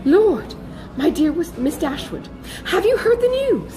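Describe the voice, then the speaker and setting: Casting: female reader as excitable older society gossip, good-hearted, breathless, very wide swings in pitch, period novel audiobook. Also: a little slow, music in the background, full character voice. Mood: bustling, eager. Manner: breathless, exclamatory, chatty gossip. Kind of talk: dramatised audiobook reading of a classic novel.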